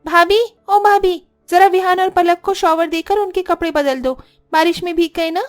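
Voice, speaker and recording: Female performer as arrogant young sister-in-law, shrill high pitch, bossy, whiny, cartoon drama. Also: fairly even intonation, moderate pace, faint music behind. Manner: scolding, self-centred, whiny. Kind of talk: Hindi cartoon family drama.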